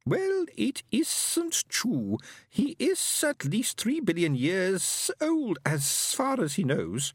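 A man putting on a shrill haughty alien. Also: huge pitch swings, slow pace, slightly rough, no room sound, studio quality, exaggerated voice. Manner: shrill, indignant, drawn out. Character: haughty fussy alien male Fenobe.

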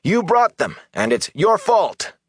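A man's voice: lowish voice, sing-song, slow, fairly bright tone, no room sound, clean studio sound, voice pushed up, exaggerated voice. A man voicing a rowdy, easily cowed village man in an audiobook, in a blustering manner. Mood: angry, accusing. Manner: roaring, blustering.

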